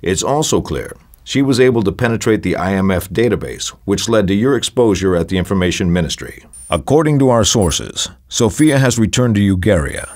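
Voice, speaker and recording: An authoritative spy agency briefer voiced by a man in a video game. He speaks formally in a measured, fairly low voice, as in an official scripted briefing. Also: expressive, a little mellow, lightly acted. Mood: official, grave.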